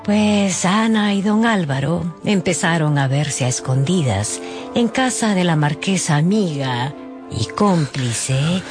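A woman's voice: on the low side, lively intonation, slow pace. A woman narrating a dramatised story expressively, with wry, gossipy asides. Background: background music.

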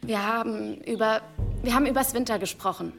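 Evasive young village girl, rather high pitch, nervous halting delivery, audio drama. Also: gravelly, a bit bright, soft background music. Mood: nervous, defensive. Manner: halting, repeating herself nervously. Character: anxious, evasive village girl, defensive and guilty. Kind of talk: German audio drama acting.

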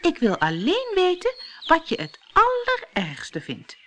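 Lady doing a fed-up storybook hedge, high voice, emphatic, sensible and helpful. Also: huge pitch swings, measured pace, pushed and bright, a little hiss or hum, exaggerated voice. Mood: impatient. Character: female hedge, exasperated but helpful, sensible. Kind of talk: fairy-tale storybook voice.

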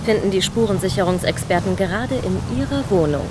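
An actress playing a curt, scornful captor in a crime radio drama, with a cold and cutting manner. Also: female voice, mid-range voice, fairly quick, close to an everyday voice. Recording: outdoor vehicle noise behind.

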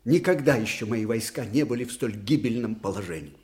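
An actor playing an aging army commander, fiery and anguished, in a theatrical radio drama with declamatory, weighty delivery. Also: male voice, medium pitch, lively intonation, natural voice. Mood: grave, bitter. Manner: declamatory, slow and weighty, swinging from hushed to thundering.

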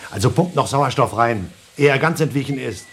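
An exasperated male crewman in a radio drama, mid-pitched and highly animated. He sounds anxious and urgent, moving between agitated demands and muttering. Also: a little fast, slight background noise. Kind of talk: German radio play acting.

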